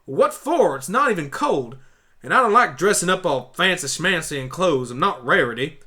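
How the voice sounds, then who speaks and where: A man's voice: on the high side, big pitch swings, moderate pace, sharp tone. A man voicing a blunt, stubborn young country pony in a fan audio drama. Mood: confused, irritated. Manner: folksy, blunt, exclamatory. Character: plain-spoken, stubborn young country mare pony turned human.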